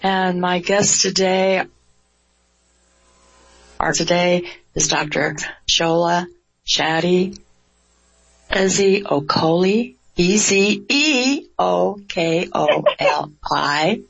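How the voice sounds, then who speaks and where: A woman hosting a radio interview show. She speaks slowly and warmly, with hesitant fillers and frequent laughter. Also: on the low side, creaky, breathy edge, no echo. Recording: dry, dull lo-fi radio broadcast.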